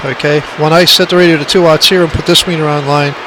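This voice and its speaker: A man's voice: fairly high pitch, fairly even intonation, fast, background noise. A man giving an informal hands-on tutorial in a plainspoken, emphatic way, repeating his points, on an amateur phone recording. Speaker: radio hobbyist demonstrating equipment.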